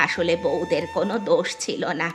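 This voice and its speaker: Lady doing an older bengali cartoon mother-in-law, lowish voice, bossy but plaintive, music behind. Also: steady tone, a little fast, a bit bright, close to an everyday voice. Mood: remorseful pleading. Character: ageing mother-in-law, bossy then remorseful.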